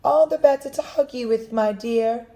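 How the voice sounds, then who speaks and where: A woman voicing a wicked male wolf in a read-aloud storybook, with a sweetly mocking, high and loud manner. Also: normal speed, close to an everyday voice, low-quality recording. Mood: sly.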